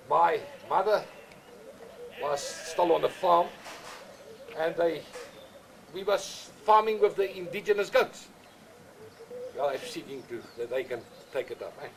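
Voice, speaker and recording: An older man with an Afrikaans accent, whose first language is Afrikaans, talking plainly and repetitively in halting English as a goat breeder outdoors on a farm. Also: sits high, poor audio. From South Africa. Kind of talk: casual explanation on a farm.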